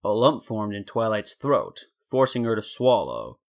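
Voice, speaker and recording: A man narrating a scripted story in a deliberate, dramatic storytelling manner. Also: fairly low pitch, big pitch swings, vocal fry. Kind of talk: scripted fan fiction narration.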